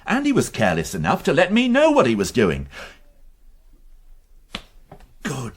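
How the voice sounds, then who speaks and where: An actor playing a dogged, quick-minded police inspector with a British accent, reasoning aloud emphatically in a radio drama. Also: male voice, medium pitch, huge pitch swings, breathy edge. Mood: excited, certain.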